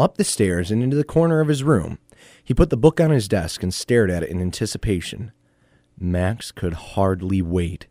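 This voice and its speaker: A man's voice: lowish voice, a little mellow, no echo, studio quality. A man narrating a scripted audio drama like a storybook, in a theatrical, playful manner.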